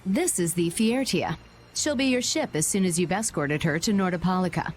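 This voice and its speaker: A woman playing a shrewd, confident merchant guild president in a video game, brisk and polished in delivery. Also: lowish voice, lively intonation, slight background noise, natural voice. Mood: brisk, assured. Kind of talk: video game dub acting.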